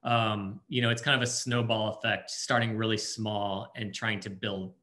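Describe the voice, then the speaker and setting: Bright-voiced, earnest man. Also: lowish voice, level tone, moderate pace. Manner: earnest, gentle, frequent fillers. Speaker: conference session co-presenter. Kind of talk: casual conference Q&A answers.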